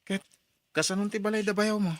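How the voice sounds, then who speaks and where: A fairly high, dry-recorded male voice from an actor plays a patient young suitor in a radio drama. He is gentle and sincere, and the man speaks with an Ilocano accent. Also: fairly even intonation, brisk, dry recording, close to an everyday voice.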